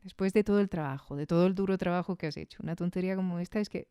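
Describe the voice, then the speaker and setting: A woman's voice: lowish voice, rapid-fire, warm tone, clean tone, no echo. A woman with an accent from Spain hosting a podcast, giving a casual, reflective spoken review with conversational tag questions.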